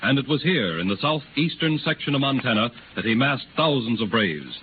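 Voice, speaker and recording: A man's voice: mid-range voice, very animated, moderate pace. Declamatory male narrator on tinny old radio. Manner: florid, declamatory. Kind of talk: dramatic scripted 1930s radio narration. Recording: noisy, tinny 1930s radio recording.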